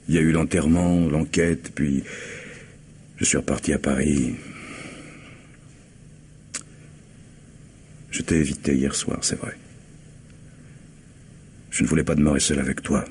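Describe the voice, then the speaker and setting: A man's voice: rumbling low, a little mellow. An actor playing a stern, agitated middle-aged man secretly in love, earnest and emphatic, in a French radio play. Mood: exasperated. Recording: noisy old radio drama recording.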